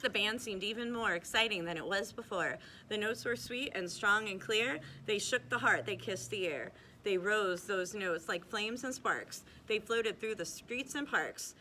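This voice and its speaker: A young woman reading a rhyming picture book aloud at a steady, rhythmic pace, now and then stumbling and restarting a word. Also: medium pitch, a little hiss or hum, rough recording. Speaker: reader.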